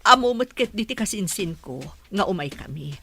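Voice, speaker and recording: A woman's voice: mid-range voice, expressive, normal speed, sharp tone, a little hiss or hum. A woman acting an anxious, affectionate older village mother in an Ilocano radio drama, with an Ilocano accent. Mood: eager, warm.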